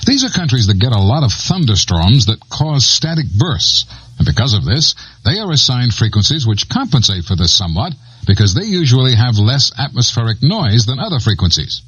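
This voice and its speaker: Man, American accent, rough husky voice, expressive pitch, explanatory scripted narration, muffled lo-fi tape. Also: moderate pace, no room sound. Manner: explanatory, conversational asides. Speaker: narrator of an instructional guide. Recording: dull lo-fi old cassette transfer.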